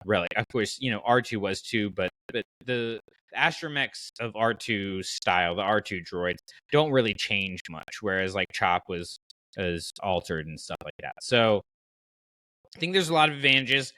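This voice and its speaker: Male podcast host, bright and punchy, very dry sound, casually arguing his case in everyday slang. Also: lowish voice, expressive, normal speed, dry recording, poor audio. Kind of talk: casual fan podcast discussion.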